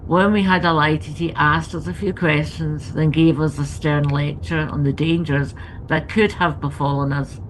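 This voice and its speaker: A woman's voice: sits low, steady tone, normal speed, a little hiss or hum, poor audio. A woman author reading her own book aloud as scripted memoir narration, with ambient sound behind her.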